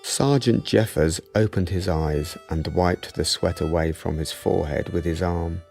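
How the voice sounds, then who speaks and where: A man giving solemn, deliberate, gravelly dramatic narration of a war tragedy for a radio play. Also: low-pitched, a little mellow.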